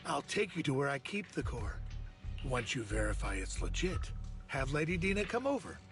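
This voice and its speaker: A man's voice: medium pitch, very animated, normal speed, fairly bright tone, faint music behind. An actor playing a cocky, scheming male villain in a video game, speaking in a casual, smug way.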